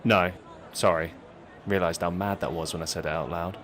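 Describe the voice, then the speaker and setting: A man's voice: deep voice, steady tone, moderate pace, fairly bright tone, a faint crowd, a little hiss or hum. An actor playing a gullible, apologetic young man at a protest in a comic audio drama, tentative and earnest. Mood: earnest, sheepish.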